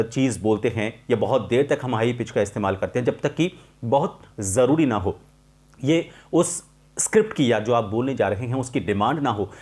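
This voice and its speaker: A man presenting an instructional tutorial as a voice coach, explaining in a measured, emphatic way and repeating key points. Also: mid-range voice, a little mellow.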